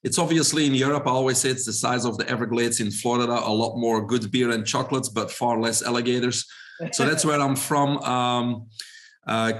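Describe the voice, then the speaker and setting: A man in his forties from Belgium with a Belgian accent, a podcast guest who tells his story in a relaxed way with frequent fillers. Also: medium pitch. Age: 40s. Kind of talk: podcast interview.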